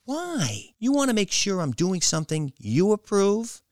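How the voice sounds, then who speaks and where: A man voicing an excitable, defensive young woman with an eager, hesitant manner in an audiobook story. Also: sits high, measured pace, no echo, clean studio sound, voice pushed up, exaggerated voice. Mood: defiant.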